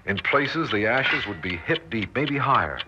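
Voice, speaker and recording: An actor playing an earnest, weary, protective father in 1960s radio drama, pleading and reasoning in halting phrases. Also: medium pitch, animated, lightly acted. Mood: grim, resigned. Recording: noisy, muffled old radio recording.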